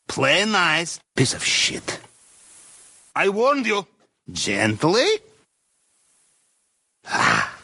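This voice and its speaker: An actor playing a jovial, deferential Russian submariner in a video game, speaking courteous, slightly broken English with a Russian accent and wry dark humour. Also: male voice, a little high, no echo, studio quality, exaggerated voice. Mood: muttering to himself. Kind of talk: video game character dialogue.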